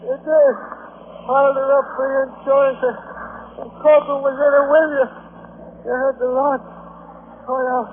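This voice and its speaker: Wounded sailor at death's door, frail halting delivery, vintage radio play, muffled crackly audio. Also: male voice, very high voice, little pitch movement. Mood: bitter, accusing. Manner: weak, halting. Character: badly injured, dying male sailor, bitterly accusing. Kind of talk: 1940s radio acting. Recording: muffled, noisy 1940s radio recording.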